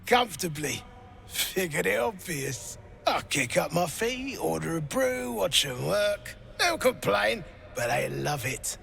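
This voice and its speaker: A man's voice: high voice, sing-song, slow pace, vocal fry, bright, forceful tone, faint music behind, exaggerated voice. A man voicing a crude, bullying slaver in a fantasy game, with a coarse, smug, jeering delivery.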